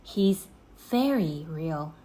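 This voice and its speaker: A woman's voice: low-pitched, lively intonation, very slow, dark tone, full character voice. A woman voicing an eager, bold and friendly young girl adventurer in a storybook. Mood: insistent.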